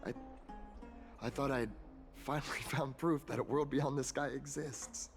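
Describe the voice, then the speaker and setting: An actor playing an excitable male explorer and photographer in a video game, stammering and repeating words as he talks. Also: medium pitch, unhurried, close to an everyday voice. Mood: eager. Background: game music.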